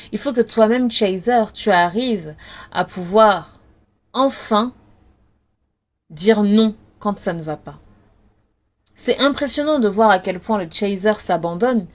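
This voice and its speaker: Woman, earnest, direct address, hesitant fillers, repetitive, spiritual advice talk. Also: medium pitch. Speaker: spiritual advice content creator. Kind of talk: casual talk.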